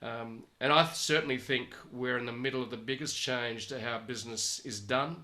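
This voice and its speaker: An Australian man hosting a conversational interview show, speaking in a halting, rambling way. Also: medium pitch, steady tone, pushed and bright. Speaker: podcast host.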